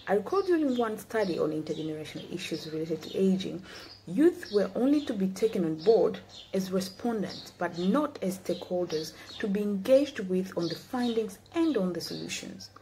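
A woman's voice: medium pitch, very animated, dark tone, slight background noise, poor audio. Young woman from Tanzania giving a speech. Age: 20s. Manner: measured, earnest, read-from-notes delivery. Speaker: guest speaker. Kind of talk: prepared speech to a youth forum. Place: indoor room. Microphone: laptop webcam, video call.

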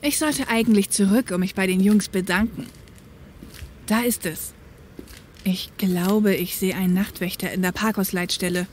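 A woman's voice: medium pitch, fairly even intonation, normal speed, a little hiss or hum, lightly acted. A woman playing a streetwise young woman driver, wry and dreamy, in a German audio drama. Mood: weary, wry.

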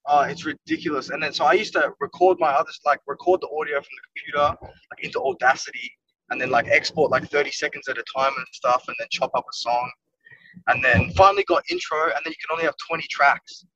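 A man's voice: fairly high pitch, quick pace, a crowd in the background. A young Welsh-born man, a music producer, chatting casually in an interview from inside a car and rambling with lots of "like" and "you know what I mean". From Wales. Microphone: phone or laptop camera on a video call. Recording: dull lo-fi video call audio from a distance.

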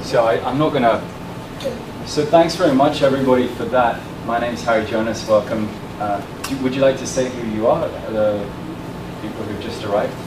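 A man casually welcoming a workshop group in a conference room, relaxed and informal with hesitant starts. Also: medium pitch, moderate pace, background noise. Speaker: workshop facilitator. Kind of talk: casual chat. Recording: distant voice in an echoey conference room with air conditioning.

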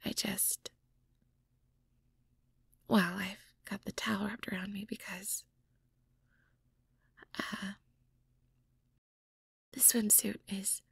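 A woman performs a sweet, insecure young mage girlfriend in an audio drama. Her creaky voice is timid and apologetic, stumbling shyly over words in a dry recording. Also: medium pitch, level tone, very slow, pauses often, fairly bright tone, no room sound, exaggerated voice. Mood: bashful, insecure. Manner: hesitant, timid, stammering. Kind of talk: scripted audio roleplay.

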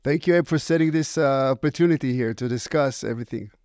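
A man's voice: medium pitch, quick pace, darkish tone, no echo. Middle-aged male founder with an Israeli accent, mid-range voice, casual interview storytelling, very dry sound. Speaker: company founder, podcast guest.

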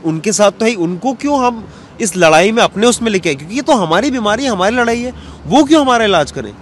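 A man's voice: high voice, animated, quick pace. A man in his thirties speaking earnestly and casually as an interview guest, mixing English words into his speech. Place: outdoors in an open courtyard. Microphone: handheld small microphone. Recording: faint outdoor street background noise.

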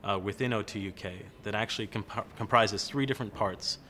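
A man's voice: fairly low pitch, brisk, sharp tone, faint music behind. A man in his thirties explaining his team's work in an informal corporate interview. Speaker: corporate innovation team representative. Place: large open-plan office, indoors.

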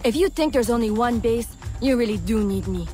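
Woman voicing a cocky female outlaw, higher voice, sardonic, game drama. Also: expressive, a little fast, a little mellow, faint music behind, natural voice. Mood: defiant. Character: brash, sardonic female swamp smuggler. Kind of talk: video game voice acting.

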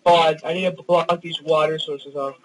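A young man co-playing a console game with his friend, quick and directing, exclaiming at finds, heard from off-mic in the room. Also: on the high side, fairly even intonation, recorded from a distance, a little hiss or hum, rough recording. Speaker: co-player. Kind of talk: casual gaming chat.

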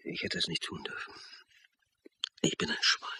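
An actor playing a middle-aged, conscientious and intense amateur sleuth in a German radio crime drama, husky and earnest. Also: male voice, normal speed, pushed and bright. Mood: anguished, guilty.

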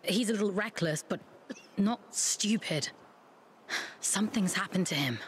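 An actress playing a worried woman searching for a missing friend, speaking anxiously and haltingly. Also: medium pitch, expressive, slight background noise, lightly acted.

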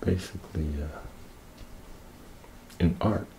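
An older man speaking softly in a halting ASMR ramble, murmuring and half-whispering close to a tabletop microphone. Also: deep voice, steady tone. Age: older, around 60s. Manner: soft-spoken, halting murmur, often breathy half-whisper. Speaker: ASMR creator showing own sketches. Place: small indoor room, green backdrop. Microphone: tabletop Yeti microphone, close. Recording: noisy close-up recording with clicks.

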